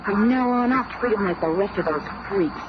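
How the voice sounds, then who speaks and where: Sharp-tongued superhero gal woman acting, scornful and dramatic, pitch jumps around, noisy old radio show. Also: lively intonation, moderate pace, dull audio, lightly acted.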